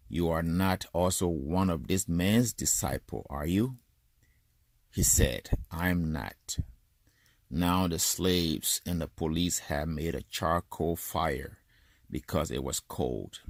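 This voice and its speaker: A man's voice: rumbling low, creaky, no room sound. A man reading a Bible narrative aloud from a script as a lay or church reader.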